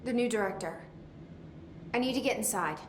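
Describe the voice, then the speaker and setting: A woman's voice: fairly high pitch, normal speed, close to an everyday voice. Woman as terse, determined heroine, echoey. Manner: terse, direct. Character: determined, blunt young female agency director. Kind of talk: video game voice acting. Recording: echoey room, clear background noise.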